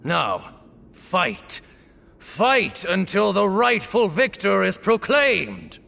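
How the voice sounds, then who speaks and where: A slow, gravelly ceremonial presider. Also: male voice, high-pitched, sing-song, bright, forceful tone, in a large hall, full character voice. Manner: formal, slow, ceremonial proclaiming. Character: solemn male temple official presiding over a sacred duel. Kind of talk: game voice acting.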